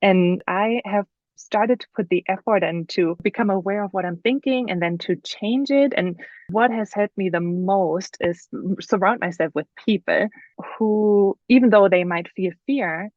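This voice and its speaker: A woman's voice: mid-range voice, measured pace, dry recording, dull audio. A woman with a German accent, a guest author telling her story in a casual podcast interview, earnest and thoughtful with many fillers.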